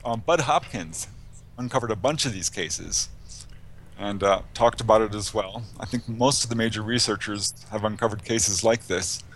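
A man's voice: on the low side, moderate pace, fairly bright tone, a little hiss or hum. A man speaking conversationally as an interview guest, recounting anecdotes as a researcher and author, with a light chuckling manner.

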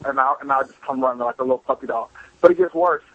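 A man's voice: mid-range voice, fast talker. A young man leaving a voicemail for a show, telling casual personal stories in a candid, self-deprecating, profane way. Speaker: listener calling a podcast voicemail. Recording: muffled lo-fi voicemail with music.

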